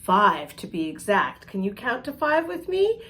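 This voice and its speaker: Woman, children's read-aloud host, lively swooping pitch, unhurried pace, expressive, question-asking. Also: husky. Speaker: children's storybook reader and host.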